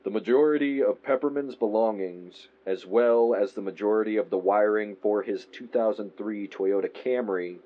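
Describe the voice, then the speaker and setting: An actor playing a detached, matter-of-fact narrator reading an official case report in an audio drama. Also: male voice, fairly low pitch, moderate pace, hardly any pauses, highs cut off, lightly acted. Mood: sober, detached.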